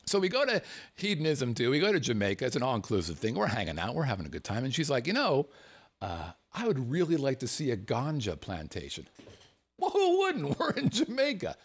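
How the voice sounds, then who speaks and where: Animated man telling a funny story. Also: mid-range voice, a little fast, breathy edge. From United States. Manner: animated storyteller, chuckles through his words. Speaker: show host telling a personal story. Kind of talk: casual anecdote telling.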